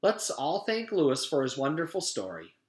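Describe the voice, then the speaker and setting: A man's voice: on the high side, very animated, normal speed, pitched above the performer's own voice. A man voicing a strict but cheerful woman teacher addressing her class. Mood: teacherly. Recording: echoey room.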